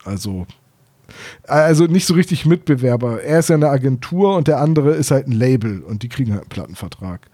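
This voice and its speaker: A man's voice: medium pitch, expressive, brisk, mellow. A man chatting casually as co-host of a podcast about books and audio plays.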